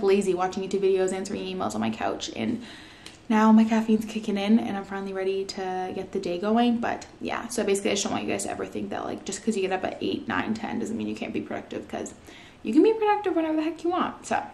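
A woman's voice: medium pitch, darkish tone, a little hiss or hum. A young woman in her twenties from Canada, chatting casually to camera at home in an upbeat way, filmed on a nearby camera. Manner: chatty, upbeat, lots of "like" and "you guys". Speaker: vlogger. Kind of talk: casual vlog chat to camera. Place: living room at home, indoors.

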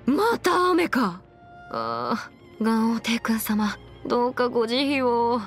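A woman's voice: on the high side, big pitch swings, takes it slowly, soft background music. A woman voicing a confident, bookish young female legal expert in a video game, speaking in a self-assured, formal, lecturing way. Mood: dismayed. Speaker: video game character voice actor. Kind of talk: scripted anime-style game character monologue.

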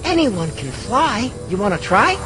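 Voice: medium pitch, big pitch swings, measured pace, over music. A performer playing a cocky, boyish flying adventurer in a video game, brash and breezy in manner. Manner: brash, breezy, self-assured.

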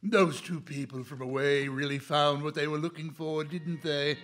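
A man's voice: fairly high pitch, fairly even intonation, normal speed, fairly bright tone. A man hosting a horror radio show, introducing it in a scripted, theatrical and dramatic style. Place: live stage show.

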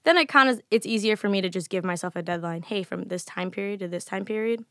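A woman in her twenties, a singer, talking candidly in a casual podcast interview. Her voice is slightly raspy, and she talks in an animated way and laughs often. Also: medium pitch, quick pace, no room sound, studio quality. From United States. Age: 20s. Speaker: guest singer. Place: small studio with colored lights and a table. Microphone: studio mic on a desk stand.

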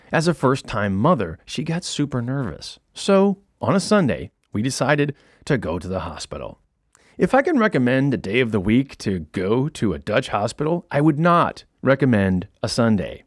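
A man's voice: medium pitch, no echo. A man in his fifties with an American accent, reading his own comic book aloud as an audiobook in a small studio. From United States. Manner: wry, lively storytelling with comic emphasis. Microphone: studio condenser mic with headphones. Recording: dry studio sound.

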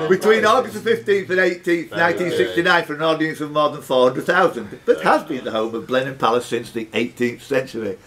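A man's voice: fairly high pitch, normal speed, a crowd in the background. A man hosting a live quiz, reading out questions with teasing, sweary banter. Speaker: quiz host.

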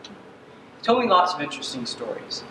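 A young man from Uganda gives an earnest student presentation, his slightly high voice dotted with ums. He is picked up from a distance in a large hall with a long, roomy reverb. Steady ambient noise sits clearly under him. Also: moderate pace. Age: young adult. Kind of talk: senior capstone presentation. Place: dark stage in a hall.

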